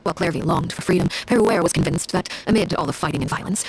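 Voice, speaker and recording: An actress playing a cold, composed woman of high rank in a video game, telling grim history in a measured, formal, unsentimental way. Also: a little hiss or hum, lightly acted. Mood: somber, measured. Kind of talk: scripted dramatic game dialogue. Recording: music behind the voice.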